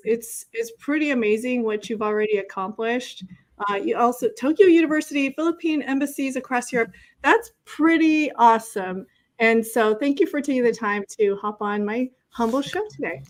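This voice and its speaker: A woman hosting a casual online interview, speaking in an informal, chatty, encouraging way. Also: a little high. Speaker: show host interviewing a guest.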